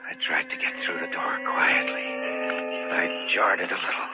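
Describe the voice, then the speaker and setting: A very low-voiced haunted man narrates a vintage radio mystery. His delivery is deliberate and uneasy, building suspense. The old recording is muffled, with music clearly present. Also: wildly swooping pitch. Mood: uneasy, suspenseful. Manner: slow, deliberate, suspenseful storytelling. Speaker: radio drama actor and narrator.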